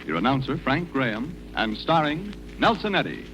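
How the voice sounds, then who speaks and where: A man's voice: mid-range voice, sing-song, moderate pace, background noise. An American man announcing a scripted 1940s radio show. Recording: dull 1940s radio broadcast recording. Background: music.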